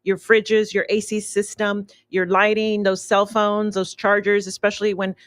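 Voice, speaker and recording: A woman's voice: mid-range voice, flat delivery, moderate pace, dry recording. A woman speaking as a radio show guest, earnest and outspoken, with a preachy tone. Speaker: radio show guest, author and business owner. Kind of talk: casual radio interview. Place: radio studio.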